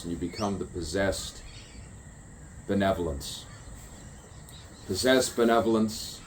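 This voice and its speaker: A man's voice: lowish voice, darkish tone, slight background noise. A man delivering a stream-of-consciousness spoken poem, musing and repeating rhyming phrases. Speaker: poet.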